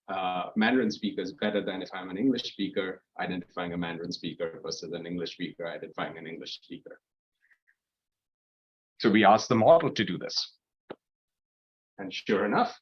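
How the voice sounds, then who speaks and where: A man's voice: fairly low pitch, dull audio. A man giving a guest lecture at a university seminar, explaining in a loose style with restarts along the way. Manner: explanatory, with frequent fillers and restarts. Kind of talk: academic seminar talk. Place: lecture room.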